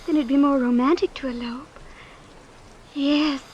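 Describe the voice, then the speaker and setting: A faintly noisy vintage radio recording has a young heiress with a high, girlish voice. She is airy, flighty and spoiled, pouting easily and sounding chillingly blithe and naive. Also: fairly even intonation, a little slow, darkish tone, highs cut off. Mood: airy, dreamy. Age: young adult. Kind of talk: old-time radio drama acting.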